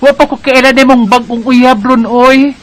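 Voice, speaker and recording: An actress with a Bisaya Filipino accent playing a teasing, skeptical young best friend in a radio drama. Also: female voice, high voice, fairly even intonation, normal speed, close to an everyday voice.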